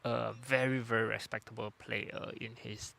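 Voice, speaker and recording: Gravelly man commentating steadily. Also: mid-range voice, normal speed. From Singapore. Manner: steady, even-toned, with frequent uh fillers. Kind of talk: live sports commentary.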